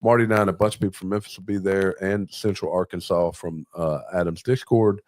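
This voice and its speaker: A man's voice: sits low, fairly even intonation, fairly quick, a little mellow. A man hosting a live podcast in an informal, conversational way, bantering casually. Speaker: podcast host.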